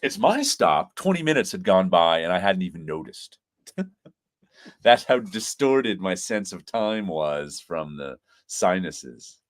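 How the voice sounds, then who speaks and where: A man's voice: deep voice, big pitch swings, measured pace. A man hosting a casual live chat, congested from sinus trouble, rambling through stories with frequent laughs and restarts. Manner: rambling storyteller who laughs often and restarts phrases. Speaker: host.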